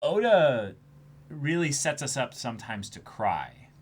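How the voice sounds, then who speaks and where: A man co-hosting a casual livestream, reading out viewer messages and thinking aloud in an animated, sometimes halting way. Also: mid-range voice, husky. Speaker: livestream co-host.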